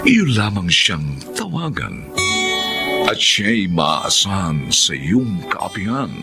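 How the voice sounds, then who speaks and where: A man's voice: sits low, very animated, slow pace. A man with a Filipino accent announcing and narrating a radio drama in a solemn, theatrical scripted style. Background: dramatic guitar music.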